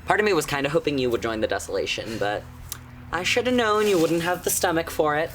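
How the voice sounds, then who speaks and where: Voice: medium pitch, expressive, fast talker, fairly bright tone, a little hiss or hum, close to an everyday voice. An actor playing a smug, taunting old friend who has turned to a destructive power, speaking casually and with amusement in a horror drama. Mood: smug, musing. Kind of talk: conversational horror audio drama acting.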